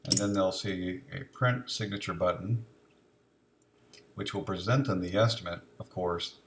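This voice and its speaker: A man giving an informal, unscripted step-by-step software demonstration, explaining each step as he goes. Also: low-pitched, unhurried, noisy background.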